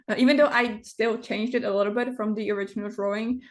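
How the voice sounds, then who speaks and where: Non-native woman in her 20s on a video call, chatty but pausing a lot, lots of uhs. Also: medium pitch, steady tone. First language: not English. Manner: hesitant, many pauses and fillers. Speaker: interview guest, game builder. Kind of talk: casual conversation.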